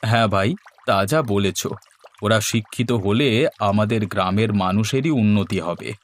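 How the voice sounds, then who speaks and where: A man's voice: on the low side, a little slow, close to an everyday voice. A man playing a hardworking, friendly village fisherman and father in a cartoon story. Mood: agreeable, earnest. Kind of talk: Bengali cartoon story dialogue.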